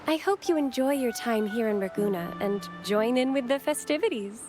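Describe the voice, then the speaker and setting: Video game acolyte: woman acting as a courteous, devout young guide, high-pitched, formal and reverent, over background music. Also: animated, moderate pace, natural voice. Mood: polite, helpful. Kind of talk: scripted video game dialogue, reverent exposition.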